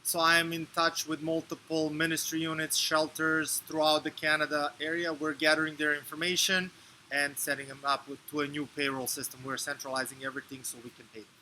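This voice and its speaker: A man from Serbia in his thirties, answering interview questions in a measured, explanatory way with fillers and restarts. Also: fairly high pitch, flat delivery, pushed and bright, a little hiss or hum, low-quality recording. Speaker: interview guest.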